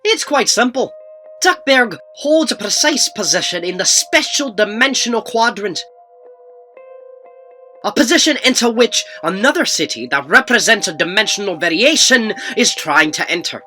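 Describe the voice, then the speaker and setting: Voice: mid-range voice, sing-song, moderate pace, sharp tone, faint music behind. A performer voicing a pedantic artificial-intelligence helper in a comic dub, precise and lecturing with technical language.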